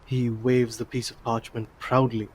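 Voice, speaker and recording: A man's voice: medium pitch, little pitch movement, measured pace, a little mellow, slightly rough, low-quality recording. A man narrating a scripted story for an audio drama.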